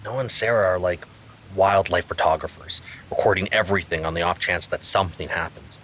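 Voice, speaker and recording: An actor playing an earnest amateur investigator, reasoning aloud as a conversational podcast narrator in an audio drama. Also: male voice, sits low, a little fast, a bit bright, slight background noise. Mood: explaining, wry. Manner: reasoning aloud, self-questioning.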